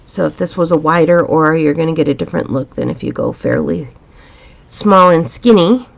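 A woman teaching a drawing pattern step by step in a calm, chatty, reassuring way, her voice a little raspy. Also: low voice, fairly quick, lo-fi sound. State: slightly raspy from a dusty room. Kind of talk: relaxed step-by-step drawing tutorial. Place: home art studio, indoors.

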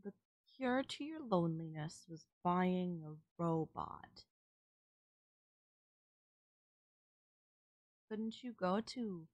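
A woman voicing a polite, puzzled, emotionless female servant robot in an audio roleplay, with formal, halting, literal phrasing. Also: mid-range voice, no room sound. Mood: puzzled, questioning. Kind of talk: scripted audio roleplay, ASMR.

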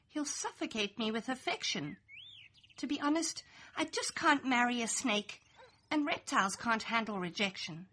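A young adult woman reads a rhyming picture book aloud, her voice high and bright with a slightly breathy edge. She takes her time, letting her pitch swing widely and playfully through each line. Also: slight background noise. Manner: lively, playful, sing-song storytelling. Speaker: storybook reader. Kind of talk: expressive read-aloud children's story in rhyme.

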